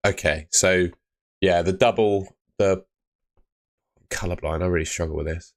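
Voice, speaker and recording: A young man chatting live with viewers on a stream, laid-back and chatty, his voice fading and hoarse. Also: sits low, steady tone, a little slow. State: losing his voice.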